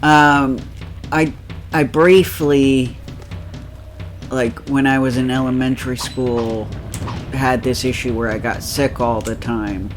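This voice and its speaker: Nasal man, slow rambling chat, lots of ums, faint music behind. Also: mid-range voice, steady tone. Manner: rambling, laughs often, many fillers. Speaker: let's play co-host. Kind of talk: casual chat over gameplay.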